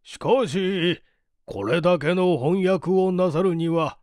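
A man reading the part of a polite, puzzled gentleman client who questions a detective in an audiobook. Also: sits high, slow pace, no room sound, studio quality. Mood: puzzled, inquiring. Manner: polite, inquiring.

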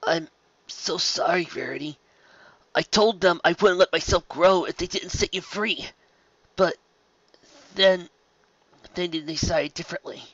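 A man's voice: a little high, very animated, moderate pace, pushed and bright, no room sound, dull audio, pitched above the performer's own voice, full character voice. A man voicing a young male dragon in a storybook reading, earnest and emotional, with easily wounded pride. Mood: downcast, apologetic.

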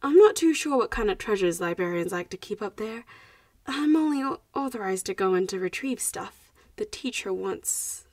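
A woman's voice: mid-range voice, animated, normal speed, darkish tone, dry recording, studio quality, recorded from a distance, lightly acted. A woman voicing an anxious, protective popular vampire schoolgirl in an audio roleplay, hesitant and reassuring as she speaks to the listener. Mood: reassuring, apologetic.

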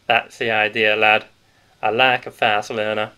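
A man's voice: on the low side, little pitch movement, unhurried, sharp tone, smooth. A man voicing a stout, sly, cheerful male wartime grocer with a Yorkshire accent, in a knowing, conspiratorial manner.

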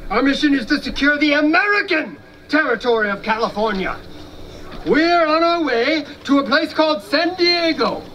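Man playing complaining old-time army sergeant, very high voice, folksy theatrical talk, far from mic, noisy outdoors. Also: animated, moderate pace, pushed and bright, exaggerated voice. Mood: aggrieved. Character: aggrieved, folksy older American dragoon sergeant, 1840s. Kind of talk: stage reenactment monologue. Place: outdoor amphitheater in a park. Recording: distant outdoor microphone, background noise.

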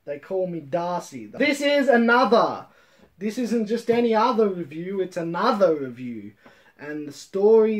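Rambling, mock-boastful man, comedic reviewer. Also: sits high. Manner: rambling, sweary, repeats phrases for comic effect. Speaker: host of a manga review show. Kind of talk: casual, comedic solo review. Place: room at home with bookshelves.

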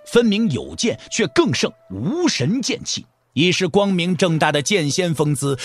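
A man's voice: a little high, slightly rough. A man narrating a martial arts novel for an audio drama in a dramatic storytelling style.

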